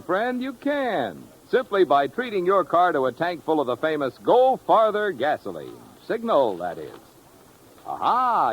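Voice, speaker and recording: A man's voice: medium pitch, poor audio. A man delivering a chummy, folksy 1950s radio commercial as the announcer.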